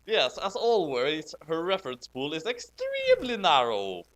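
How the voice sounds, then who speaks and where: A higher-voiced man gives a hammy dramatic reading with amused commentary. His pitch swings widely, and he takes his time over the words, often sounding close to giggling. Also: wildly swooping pitch, unhurried, a bit bright. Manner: theatrical, hammy emphasis; giggly, breaking into laughter. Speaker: host reading bad fan fiction aloud.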